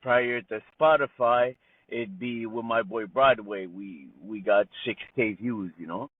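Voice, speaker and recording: Montreal rapper dude, chill slangy talk, dry thin call audio. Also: male voice, fairly low pitch, a little slow, slightly rough, no room sound. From Montreal, Canada. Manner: laid-back slang, frequent "you know", "man", "bro". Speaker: rapper guest. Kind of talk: casual interview chat. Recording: tinny phone-like call line.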